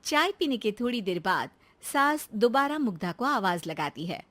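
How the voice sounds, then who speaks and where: A woman's voice: a little high, very animated, normal speed, sharp tone. A woman narrating a scripted moral bedtime story as a storyteller.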